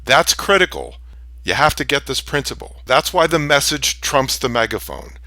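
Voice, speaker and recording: Emphatic man with a bright, forceful voice. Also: medium pitch, lively intonation, moderate pace, no room sound. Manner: emphatic, declarative, short punchy sentences. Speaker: branding expert, presenter. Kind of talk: instructional business monologue.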